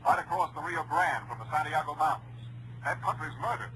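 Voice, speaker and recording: An American actor playing a grave, businesslike headquarters officer in an old radio drama, brisk and clipped. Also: male voice, a little high, expressive, close to an everyday voice. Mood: grave, urgent. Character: businesslike male Ranger headquarters officer giving grave reports. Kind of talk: 1950s radio drama acting. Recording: noisy, humming old radio recording.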